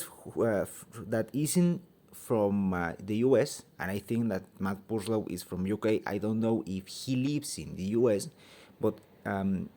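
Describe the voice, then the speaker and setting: Mexican-accented man with a warm, low voice. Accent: Mexican Spanish. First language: Spanish. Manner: hesitant, many fillers and restarts, grows heated. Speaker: vlogger giving an opinion. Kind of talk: casual opinion monologue. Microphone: phone held by hand.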